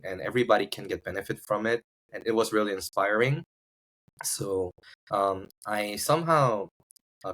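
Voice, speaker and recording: A man from South Korea with a Korean accent, telling his story casually as a podcast guest, often searching for words. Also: on the low side. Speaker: podcast guest, startup founder. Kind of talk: casual personal storytelling in an interview.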